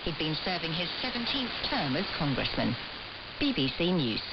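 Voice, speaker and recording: A woman's voice: low-pitched, very animated, moderate pace. A woman reading a formal scripted news bulletin on the radio. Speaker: newsreader. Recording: muffled, very noisy hissing shortwave radio.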